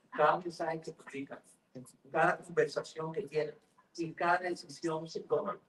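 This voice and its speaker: A man giving a formal conference speech to young people, measured and unhurried with occasional hesitant pauses. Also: a little high. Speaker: conference speaker. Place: conference hall. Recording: distant mic with faint background noise.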